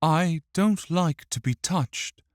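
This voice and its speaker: A man's voice: takes it slowly, no echo, studio quality. A man voicing a frightened, tormented ghost boy in an audiobook, with pleading, emotional delivery. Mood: uneasy. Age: child. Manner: pleading, emotional, shrill when upset. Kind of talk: dramatic audiobook character acting.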